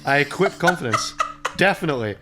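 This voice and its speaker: A man's voice: a little high, expressive, a little fast, background noise, natural voice. A man voicing an awkward, distracted student who narrates his panicky thoughts in a visual novel.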